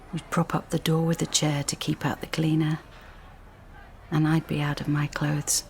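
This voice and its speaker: A woman's voice: sits low, a little mellow, slight background noise. An actress playing a bitter, intense young woman, a scorned lover pressing her point insistently in a naturalistic radio drama.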